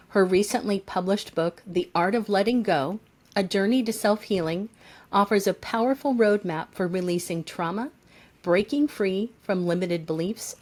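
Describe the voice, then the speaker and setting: A woman's voice: medium pitch, a little mellow. A woman co-hosting a podcast, reading a guest introduction and talking in an effusive, praising way. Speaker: co-host.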